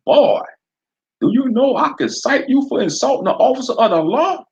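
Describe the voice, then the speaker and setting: A man's voice: very high voice, lively intonation, normal speed, highs cut off, voice pushed up. A man voicing a bullying small-town sheriff with a Southern drawl, sneering and menacing. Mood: threatening.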